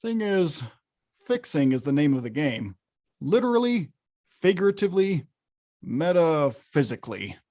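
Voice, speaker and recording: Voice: mid-range voice, sing-song, measured pace, long pauses, mellow. An actor playing a huge, hot-tempered, weary arcade-game villain who confides wryly and grumbles conversationally in a table read. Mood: begrudging, boastful. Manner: conversational, grumbling, bursts into bellows. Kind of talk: table-read acting, confessional voice-over.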